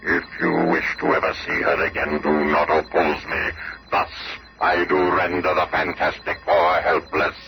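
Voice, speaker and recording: Very noisy old radio show, a super deep gravelly evil genius villain, pompous and menacing. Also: male voice, flat delivery, normal speed. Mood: gloating, menacing. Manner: pompous, menacing, formal theatrical speech. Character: diabolical gloating megalomaniac male supervillain scientist. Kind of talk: 1970s radio drama acting.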